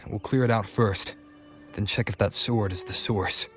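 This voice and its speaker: Calm, measured young male adventurer for a game, serious, low-pitched, over prominent score; male actor. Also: lightly acted. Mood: serious, focused. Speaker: video game character. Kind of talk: game voice acting. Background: game music.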